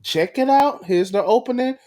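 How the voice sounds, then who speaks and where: A man's voice: extremely high pitch, expressive, normal speed. A man hosting a podcast in casual, slangy, promotional chat. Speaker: podcast host and voice actor.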